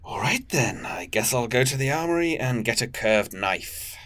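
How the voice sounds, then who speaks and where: A man's voice: medium pitch, lively intonation, normal speed, fairly bright tone, close to an everyday voice. An actor playing an earnest, burdened, pragmatic train crewman in a sci-fi audio drama, serious and matter-of-fact. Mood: grimly practical.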